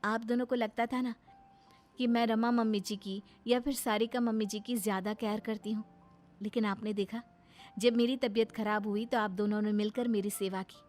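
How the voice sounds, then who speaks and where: A young cartoon daughter-in-law, played by a woman, affectionate and reasonable in the way she pleads. The voice is fairly high, over soft music. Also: fairly even intonation, normal speed, natural voice. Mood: affectionate, pleading.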